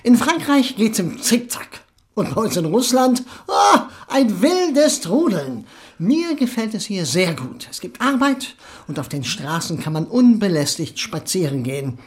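A man playing an effusive, talkative middle-aged émigré husband in a German radio drama, with a high-pitched voice and emphatic delivery. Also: very animated, a little slow. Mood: opinionated, exuberant.

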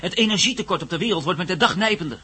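A man's voice: high voice, expressive, racing, bright, forceful tone, slightly rough, full character voice. A man acting in a Dutch radio play as a brash, practical, wisecracking younger man with a blunt, informal way of talking. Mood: impatient, businesslike. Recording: noisy radio play recording.

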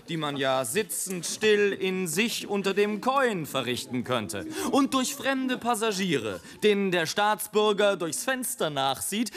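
A sardonic airship traveller in a radio play, highish male voice, mock speechifying, grim and scornful. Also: fairly bright tone, exaggerated voice. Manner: mocking, oratorical, rolling long sentences. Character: sardonic male airship traveller, grimly mocking his fellow men. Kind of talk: literary radio play monologue.

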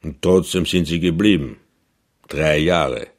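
An actor playing a taciturn, sceptical village innkeeper in a radio drama, terse and reserved. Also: male voice, a bass voice, measured pace. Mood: sceptical, measured. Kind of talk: German radio drama acting.

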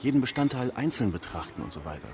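A man's voice: medium pitch, sing-song, quick pace, background noise. An actor playing a thoughtful fresco restorer in a radio drama, probing and tentative as he advises a friend. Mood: pensive, probing.

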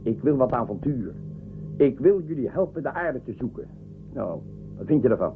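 An actor playing a bold, power-hungry space ruler in a radio drama, with a commanding, theatrical manner. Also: male voice, medium pitch, big pitch swings, moderate pace, faint music behind. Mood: confident, persuasive. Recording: thin phone-like radio recording, faint noise.

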